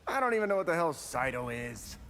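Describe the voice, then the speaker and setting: A mouthy, wisecracking fighter from a video game. He has a high, wildly animated voice with some creak and a mocking edge, with noise clearly audible behind him. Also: fairly quick. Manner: irreverent, mocking. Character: cocky, wisecracking young male fighter. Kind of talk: video game character banter.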